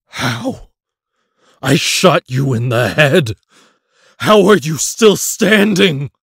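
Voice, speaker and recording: A man's voice: a little high, big pitch swings, drawn-out words, a bit bright, slightly rough, no echo, clean studio sound, exaggerated voice. An actor playing a frightened, defiant male reporter facing danger in a science fiction audio drama. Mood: bewildered, fearful.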